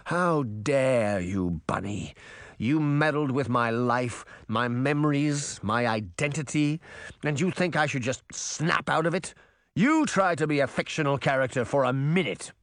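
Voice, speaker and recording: A man's voice: a little high, animated, unhurried, no echo, clean studio sound, pitched above the performer's own voice. A man voicing a grouchy, arrogant fairy-tale prince in a storybook, growling with indignation and self-pity. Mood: indignant.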